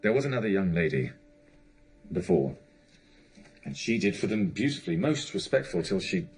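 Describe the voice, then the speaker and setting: Hesitant, low-voiced gentleman bachelor. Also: very animated, faint music behind, poor audio. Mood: persuasive, uneasy. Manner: halting, stammering, apologetic, self-absorbed. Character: evasive, well-to-do bachelor gentleman, uncle of orphans. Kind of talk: period audio drama acting.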